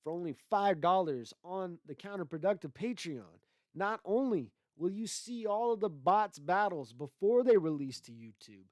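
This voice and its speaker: A man's voice: sits high, lively intonation, moderate pace, a little mellow, dry recording, clean studio sound. A man hosting a solo livestream podcast, talking in a loose, rambling way with a lot of swearing, his voice stuffy from congestion. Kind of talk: casual profane livestream rant.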